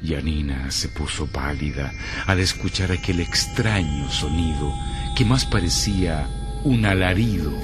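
A man narrating a mystery radio play. His voice is very low and grave, telling a dark tale in a measured literary style, with music clearly behind it. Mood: grave, suspenseful.

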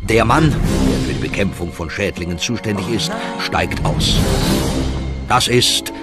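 A man's voice: lowish voice, measured pace. A man narrating a children's audio play, telling the story with dramatic phrasing. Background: music.

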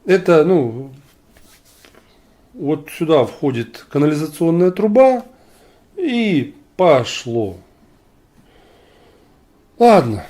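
A man's voice: a little high, big pitch swings, very slow, long pauses, mellow. A man from Ukraine arguing on a video chat stream, blunt and mocking with sarcastic asides. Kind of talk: argumentative online video chat.

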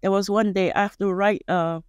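A woman in her forties speaks as an interview guest with a Malaysian Chinese accent, Chinese being her first language. She talks casually, often repeating and rephrasing as she goes. The microphone is close and the small studio sounds dry, at a middle pitch. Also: fairly even intonation, a little fast, clean tone, no room sound. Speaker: guest chef and culinary instructor. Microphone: close studio microphone on boom arm.

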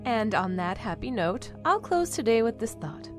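A woman's voice: fairly high pitch, big pitch swings, normal speed, over music. A woman playing a wry broadcaster giving a sententious sign-off in an audio drama. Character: wry female broadcaster closing with solemn aphorisms.

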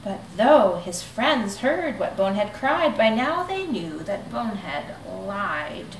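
A woman in her thirties reading a children's rhyming picture book aloud in an expressive, rhythmic way, seated in a living room. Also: medium pitch, takes it slowly, slight background noise. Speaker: storybook reader. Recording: echoey room, mic at a distance.

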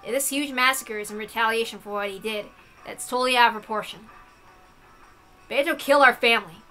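A woman's voice: a little high, brisk, sharp tone, soft background music, rough recording. A woman voicing an earnest, troubled teenage girl in a visual novel, in an insistent, questioning manner. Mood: upset. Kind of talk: visual novel character reading.

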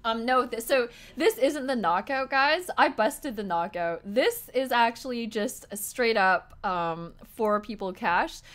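A young woman in her twenties talks to her stream chat while playing online poker. She is excitable and giggly, and her voice is going hoarse. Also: a little high, measured pace, hardly any pauses. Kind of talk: live-stream commentary to chat. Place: small room indoors at a desk.

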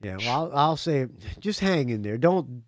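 A man hosts a casual music podcast with a Southern American accent that has mostly worn away. His pitch moves around a lot as he talks. The sound is dry, with little room on it. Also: lively intonation, moderate pace, dry recording. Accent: faded Southern US. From Jacksonville, Florida, US. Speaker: podcast host. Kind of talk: casual phone chat on a rock music podcast.

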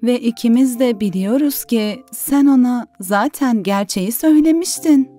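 A woman playing a gentle, patient mother with a soothing, tender manner in a children's cartoon dub. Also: a little high, normal speed, soft background music, close to an everyday voice. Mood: reassuring. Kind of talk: dubbed children's cartoon acting.

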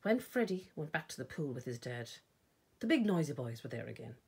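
An Irish woman reading a children's picture book aloud expressively, with dramatic pauses and emphasis. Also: a bass voice, big pitch swings, vocal fry, a little mellow, breathy edge. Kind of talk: storytime read-aloud narration.

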